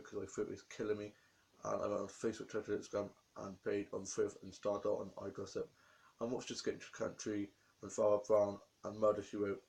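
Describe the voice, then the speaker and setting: A young man with a croaky voice from a cold, rambling through a diary vlog about his day. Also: on the low side, a little mellow. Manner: rambling, run-on storytelling. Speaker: vlogger.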